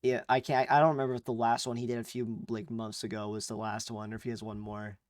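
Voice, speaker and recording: A man's voice: medium pitch, level tone, fast, dry recording, studio quality. Laid-back young man chatting casually. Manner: laid-back, rambling with fillers and restarts. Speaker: podcast co-host. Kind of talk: casual chat.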